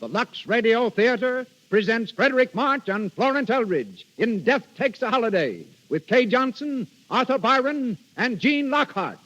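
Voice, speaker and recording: A man's voice: very high voice, big pitch swings, a little slow, few pauses, muffled recording. An American man announcing in a formal, declamatory showman style for a 1930s radio broadcast, on a vintage recording. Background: music.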